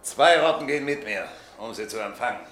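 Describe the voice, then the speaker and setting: An actor playing a brisk Roman military officer in a radio play, speaking with a commanding military bark. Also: male voice, medium pitch, animated, fairly bright tone, roomy sound, natural voice. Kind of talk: classic German radio play acting.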